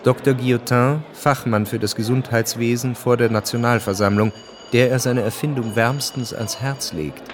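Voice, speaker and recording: A man giving measured, detached literary narration for a radio drama. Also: mid-range voice, steady tone, slight background noise, natural voice.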